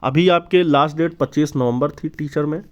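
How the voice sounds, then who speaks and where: A man's voice: a little high, normal speed. A man giving an informal explanatory news update, repeating and rephrasing his points as he goes. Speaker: YouTube exam news presenter. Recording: dull, lo-fi sound.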